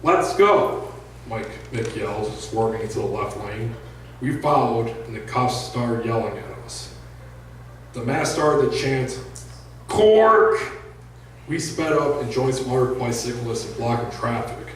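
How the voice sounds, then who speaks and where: A man reads narrative prose to a live room as the author of the story. The recording is very reverberant and picked up from a distance, with faint noise behind him. His pitch sits in an average male range. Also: animated, a little slow. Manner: raises voice for shouted dialogue. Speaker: author reading own book. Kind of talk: live fiction reading, first-person narration. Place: indoor live reading venue.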